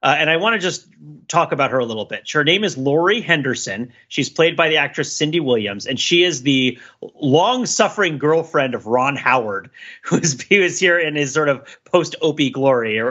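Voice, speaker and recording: A man co-hosting a podcast, giving casual, discursive pop-culture commentary in an animated, digressive and emphatic way. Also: mid-range voice, lo-fi sound. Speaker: podcast co-host.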